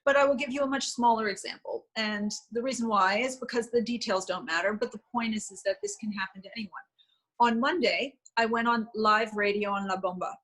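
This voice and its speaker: A woman's voice: on the high side, a little fast, a bit bright, poor audio. A woman guest in an interview, answering candidly and with self-deprecating humor, with frequent ums. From United States.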